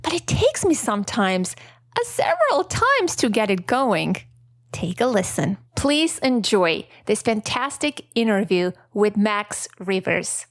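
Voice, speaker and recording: A woman's voice: mid-range voice, sing-song, measured pace, no room sound, clean studio sound. A middle-aged woman with an accent, whose first language is Armenian, hosting a podcast in a candid, self-deprecating solo reflection. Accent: non-native English. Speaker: podcast host.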